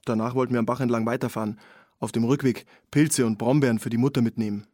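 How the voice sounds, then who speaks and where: An actor playing a sober, observant man in a radio play, giving a detailed account in clipped, measured phrases. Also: mid-range voice, steady tone, mellow, dry recording, studio quality, lightly acted. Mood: calm recollection. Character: man recounting finding a drowned woman, sober and observant. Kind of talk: radio play testimony narration.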